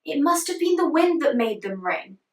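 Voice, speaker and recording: Mama dragon, high and shushy, calming her grumpy husband, woman doing it. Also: moderate pace, echoey room, far from the mic. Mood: soothing. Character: soothing female mother dragon, housewife.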